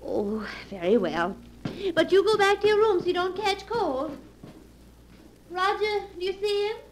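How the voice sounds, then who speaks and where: An actress playing a solicitous young niece, polite but insistent and coaxing, in 1940s radio mystery style. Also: female voice, very high voice, animated, measured pace. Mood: firm, reluctant. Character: solicitous, firm niece minding her elderly aunts. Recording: faintly noisy 1940s radio recording.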